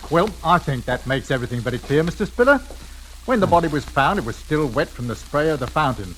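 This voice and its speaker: An actor playing a methodical, politely probing police inspector in an old radio drama, with deliberate questioning. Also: male voice, on the high side, animated, a bit bright, low-quality recording. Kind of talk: 1940s radio drama acting. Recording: old radio recording, faint hiss.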